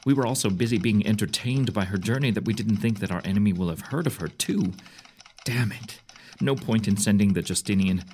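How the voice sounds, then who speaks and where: A man's voice: fairly low pitch, fairly quick, darkish tone, slight background noise, natural voice. A man playing a commanding general who bitterly blames himself, in an audio drama. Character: self-reproaching general, commanding.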